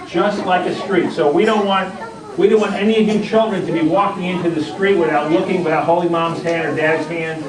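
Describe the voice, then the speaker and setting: A man's voice: high voice, steady tone, brisk, a crowd in the background. A man presenting a puppet show, explaining a story to children in simple, reassuring, repetitive terms with frequent questions. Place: indoor library event room. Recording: echoey room, distant mic, loud music.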